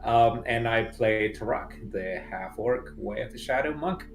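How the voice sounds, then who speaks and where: Relaxed man in echoey room. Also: medium pitch, a little slow, creaky, soft background music. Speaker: player. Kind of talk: casual livestream chat.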